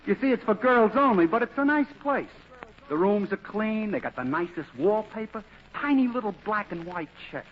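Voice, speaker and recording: An actor playing a flirtatious working-class cab driver with slangy, folksy wisecracks in a vintage radio comedy. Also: male voice, high-pitched, expressive, normal speed. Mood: chatty, admiring. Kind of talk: 1940s radio comedy acting. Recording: muffled, noisy old radio recording.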